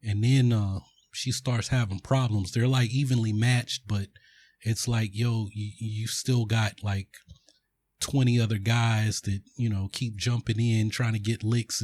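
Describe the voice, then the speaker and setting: A man's voice: on the low side, a little mellow, no echo. A man hosting a podcast, casually retelling a film plot in a rambling way with lots of fillers and restarts. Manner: rambling, filler-heavy storytelling. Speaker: podcast host.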